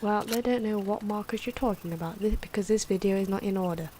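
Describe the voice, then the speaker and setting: A soft-spoken, sometimes whispery woman giving unscripted, musing ASMR commentary as she draws, correcting herself as she goes. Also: medium pitch, quick pace, slight background noise. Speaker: ASMR artist narrating own drawing. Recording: clock and pen noises behind. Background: ticking clock, pen scratching.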